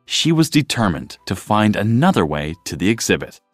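A man reading a children's storybook aloud as narrator, in an expressive style, close to the mic. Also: fairly low pitch, normal speed, no room sound, soft background music.

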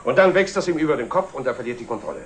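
A middle-aged works employee, played by a man in a sixties TV drama, grumbling in a matter-of-fact way. His mid-pitched voice moves up and down a lot, over faint noise from an old film soundtrack. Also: very animated, very fast, lightly acted. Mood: disgruntled. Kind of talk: 1960s German TV drama dialogue.

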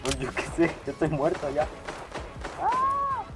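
A man's voice: fairly high pitch, huge pitch swings, a little slow. Mexican man on game voice chat. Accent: Mexican Spanish. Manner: flirty, joking, crude slang. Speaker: online teammate. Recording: voice chat heard over game music and gunfire.

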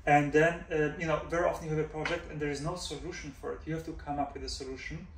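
Danish-raised professor in an echoey office. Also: male voice, fairly high pitch, fairly even intonation, quick pace. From Denmark. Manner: thoughtful, many fillers and "right?" checks. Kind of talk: relaxed interview answers. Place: office room, indoors. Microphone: far camera mic. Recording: roomy echo from far off, faint hum.

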